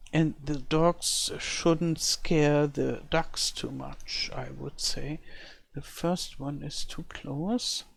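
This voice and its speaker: A woman's voice: low-pitched, creaky. An older woman from Germany with a German accent, who speaks English as a second language and thinks aloud while building a game map, sometimes searching for words. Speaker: let's-play creator. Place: home in rural France.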